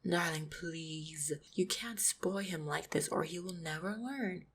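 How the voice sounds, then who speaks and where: A low-voiced orc woman, played by a woman, husky and often dropping close to a whisper. She is a loving, supportive fiancée in a fantasy roleplay audio. Her delivery is tender and reassuring, with some gentle teasing and a creaky edge. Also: unhurried. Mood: fond scolding. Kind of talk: intimate roleplay audio acting.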